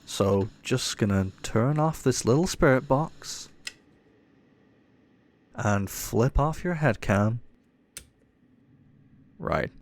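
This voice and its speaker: A cocky male ghost hunter played by a man in an audio roleplay. His voice sits on the low side, and he talks in an offhand, dismissive way, teasing the newcomer as he goes. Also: gravelly. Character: cocky, irreverent male ghost hunter showing a rookie around. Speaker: paranormal investigator character.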